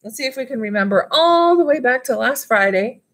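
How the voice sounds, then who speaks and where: A woman's voice: high-pitched, expressive, fairly quick. A woman in her thirties reading a children's book aloud as a school librarian, with casual asides to the listeners. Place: small wood-panelled room at home. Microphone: webcam or laptop at desk distance.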